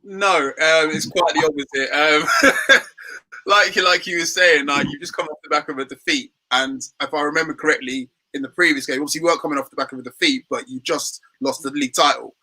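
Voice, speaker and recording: A man's voice: a little high, fairly even intonation, a little fast, sharp tone, breathy edge, low-quality recording. A man with a London accent, a guest in a casual chat, talking in an easygoing, self-mocking way with hesitant fillers. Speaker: guest, football fan channel host.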